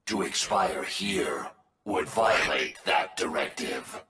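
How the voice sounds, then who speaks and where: Robot wolf for a game: low, forceful, measured and logical, machine-like delivery. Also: male voice, expressive, reverberant, slight background noise, heavily acted. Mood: solemn, resolute. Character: solemn, logical robot wolf with newfound will. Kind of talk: video game cutscene acting.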